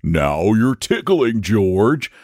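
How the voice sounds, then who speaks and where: A man's voice: deep voice, very animated, unhurried, no room sound, clean studio sound, heavily acted. A man voicing a big, genteel, old-fashioned storybook dragon with a drawling, testy, fussily polite manner. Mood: coy. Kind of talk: storybook character voice.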